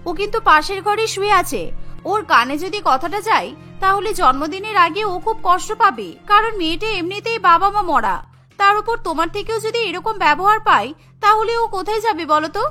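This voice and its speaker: A woman voicing a caring, gently scolding young sister-in-law in a cartoon, speaking with earnest expression. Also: very high-pitched, lively intonation, brisk, faint music behind, heavily acted. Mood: scolding, protective. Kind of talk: Bengali cartoon dubbing.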